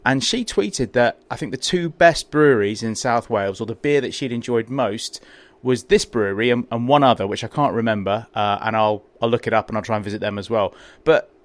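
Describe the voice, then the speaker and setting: A man's voice: medium pitch, brisk, dry recording. A man from London with a London accent, hosting a podcast interview in a small brewery. He talks with enthusiasm, often repeats words as he starts a thought, and is recorded close and dry. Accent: London English. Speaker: podcast host. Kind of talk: casual interview chat.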